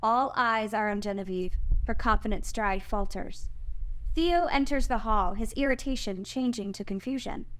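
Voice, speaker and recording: A woman's voice: mid-range voice, moderate pace, low-quality recording. A woman reading out screenplay stage directions as the narrator at a table read.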